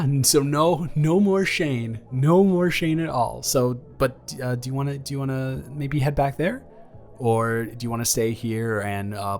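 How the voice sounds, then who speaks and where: Earnest, halting young ex-boyfriend, played by a man, slow-paced. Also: mid-range voice, lightly acted. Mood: nervous, playful. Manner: halting, rambling, earnest delivery with repeated restarts. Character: earnest, nervous, tender young ex-boyfriend wanting reconciliation. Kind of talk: improvised romantic audio roleplay, intimate conversational monologue.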